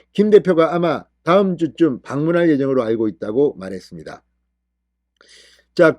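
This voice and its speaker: A man's voice: a little high, darkish tone, no echo, studio quality. A man hosting a political commentary show, reading news reports with emphatic phrasing and brief asides.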